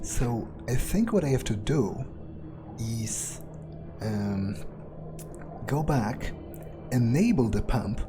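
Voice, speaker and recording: A man playing a role-playing game in character, thinking aloud in a halting, musing mutter as his vampire character. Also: mid-range voice, expressive, over music.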